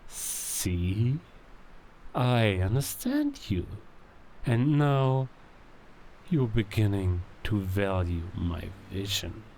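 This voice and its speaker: An actor playing a scheming werewolf king who coaxes and manipulates in a theatrical, insinuating way, with drawn-out words and hushed asides, in an audio drama. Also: lowish voice, big pitch swings, slight background noise, full character voice, low-quality recording. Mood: seductive coaxing. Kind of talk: cinematic audio drama villain acting.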